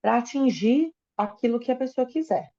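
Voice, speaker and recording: A woman interprets a lecture sentence by sentence, filling gaps with hesitations and quick restarts. Her voice is dark and mellow, and the recording sounds somewhat dull and muffled. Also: on the high side. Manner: hesitant, with fillers and self-corrections. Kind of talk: consecutive interpreting into Portuguese.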